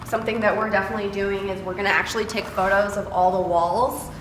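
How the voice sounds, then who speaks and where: A young American woman presents a house tour in a casual, enthusiastic way. Her voice sits at a middle pitch. She is a little way from the mic in an echoey, bare room with noticeable background noise. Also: steady tone, fast, recorded from a distance. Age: young adult. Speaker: vlogger, homeowner giving a tour. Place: bare framed house interior, indoors.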